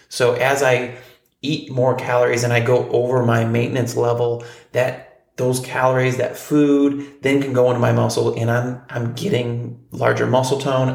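Man, forties, podcast co-host; gravelly, monotone delivery in a roomy space. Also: medium pitch. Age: 40s. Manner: relaxed, storytelling, frequent ums. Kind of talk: casual podcast chat.

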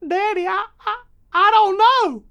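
A man's voice: very high-pitched, unhurried, creaky, bright, forceful tone, muffled recording, pitched above the performer's own voice, exaggerated voice. A man voicing an eager, chatty ten-year-old American boy in a comic story, wide-eyed and emphatic. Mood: bewildered awe.

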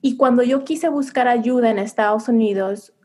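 A woman's voice: fairly high pitch, normal speed, darkish tone. A middle-aged woman who is a psychologist and coach, telling her story in a reflective, earnest way during an interview. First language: Spanish. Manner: earnest and reflective, with frequent restarts. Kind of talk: conversational interview, personal storytelling.

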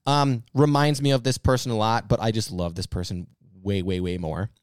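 A man's voice: lowish voice, steady tone, moderate pace, clear, resonant tone, no room sound, studio quality. A man co-hosting a casual sports banter podcast, talking in a rambling, emphatic, slangy way. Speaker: podcast co-host.

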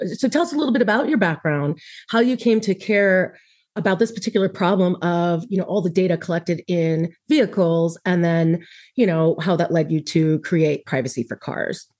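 A woman's voice: lowish voice, darkish tone. A woman podcast host asking a guest about his background, rephrasing her question as she goes. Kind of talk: interview questions.

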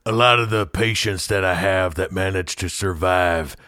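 Man acting Old West ruffian show host, low-pitched, American accent, dim, folksy, rambling, dry close sound, improvised comedy. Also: normal speed, sharp tone, no echo. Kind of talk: improvised comedy role-play.

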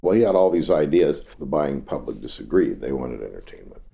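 Collector at home, very deep and mellow voice, hesitant and lively, casual show-and-tell. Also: male voice, creaky, slightly rough. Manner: unhurried, hesitant with fillers. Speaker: dentist and record collector. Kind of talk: casual explaining. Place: his home.

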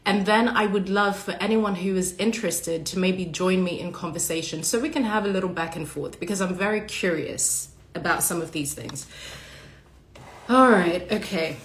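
A woman hosting a casual livestream monologue, hoarse and raspy from a cold, talking passionately and bluntly with casual swearing. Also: lowish voice, normal speed, roomy sound. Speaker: podcast host.

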